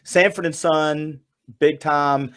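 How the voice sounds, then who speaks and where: A man's voice: on the high side, steady tone, a bit bright. A man, hosting a casual podcast chat on a video call, joking and cutting in on others. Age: 50s. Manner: joking, hurried, talks over others. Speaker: podcast host.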